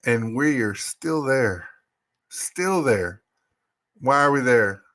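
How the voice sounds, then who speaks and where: A man's voice: mid-range voice, measured pace, sharp tone. A man hosting a live podcast, talking in an informal, emphatic and exasperated way as he shares articles and opinions. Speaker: podcast host.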